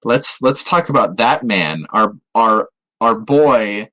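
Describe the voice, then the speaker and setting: A man co-hosting a podcast, chatting casually and jokily about films in an animated, exclamatory way. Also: lowish voice, slow pace. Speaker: podcast co-host. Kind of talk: casual, jokey film review chat.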